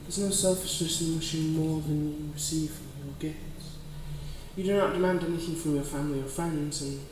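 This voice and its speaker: A man's voice: fairly high pitch, steady tone, moderate pace, lightly acted. A man playing a courtly, possessive demon in an ASMR roleplay, speaking in formal, genteel phrases. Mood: gentle, persuasive. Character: suave, courtly male demon coveting a lonely person's heart. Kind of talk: ASMR roleplay, scripted dramatic monologue. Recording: very echoey, distant, clearly noisy ambience.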